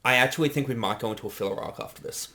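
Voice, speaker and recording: A man's voice: mid-range voice, very fast, pushed and bright. A man co-hosting a casual, conversational reaction chat. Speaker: co-host.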